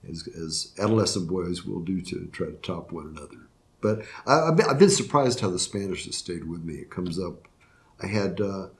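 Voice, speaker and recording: An older man, a retiring judge from Florida, answering interview questions reflectively, with frequent restarts and fillers. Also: low-pitched. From Florida, USA. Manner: halting, many restarts and fillers. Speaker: retiring circuit court judge.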